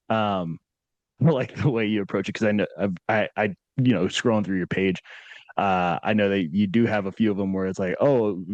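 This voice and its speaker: A man's voice: fairly low pitch, a little mellow, no echo, lo-fi sound. A man hosting a podcast interview, chatty and upbeat, often rephrasing his questions. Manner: upbeat, chatty, laughs easily, trails into rephrasing. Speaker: podcast host. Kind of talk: casual interview chat.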